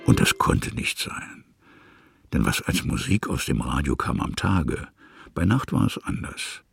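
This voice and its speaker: A man narrating a literary story expressively in short, deliberate phrases, with a very deep, husky, gravelly voice. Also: sing-song, dry recording, studio quality.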